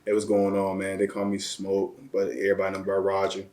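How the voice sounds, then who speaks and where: A man from the area, telling his life story as an interview guest in slang, often repeating words. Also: sits low. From Chicago area, Illinois. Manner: slow, flat delivery with repeated words and slang. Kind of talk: reflective personal storytelling. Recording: roomy echo.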